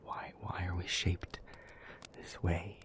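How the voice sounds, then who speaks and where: Man acting a slow, whispery low-voiced demon. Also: monotone, a bit bright. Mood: wistful, reflective. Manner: slow, halting, earnest, with long pauses. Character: weary, gentle male demon, homesick and protective. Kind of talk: intimate scripted audio roleplay.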